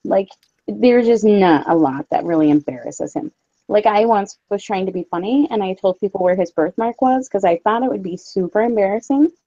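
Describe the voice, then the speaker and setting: Casting: woman, Upper Midwest accent, soft mellow tone, candid livestream chat, echoey room. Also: medium pitch, animated, normal speed, lo-fi sound. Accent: Upper Midwest American. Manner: candid, sweary. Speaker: co-host of a livestream. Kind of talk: casual chat.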